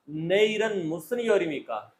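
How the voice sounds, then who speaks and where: A man's voice: high voice, expressive, normal speed. A man acting as a Korean exam interviewer, giving short instructional commands and set questions.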